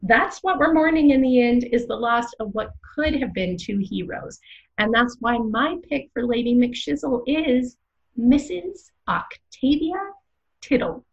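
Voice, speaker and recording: A woman's voice: on the high side, animated, measured pace, highs cut off. A woman playing an opinionated, theatrical co-host of a theatre prediction show, speaking with emphatic, rhetorical phrasing in scripted banter. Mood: impassioned. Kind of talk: scripted podcast banter and commentary.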